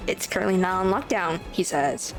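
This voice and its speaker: A woman's voice: fairly low pitch, normal speed, over music, low-quality recording. A woman streaming a game, giving casual commentary with muttered, slangy reactions. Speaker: gaming streamer.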